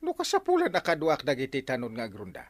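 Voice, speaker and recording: An actor voicing an excitable village captain in a comedy radio drama, loud, exclamatory and quick to scold. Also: male voice, mid-range voice, no room sound. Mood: bustling. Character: excitable middle-aged male village captain. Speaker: barangay captain character.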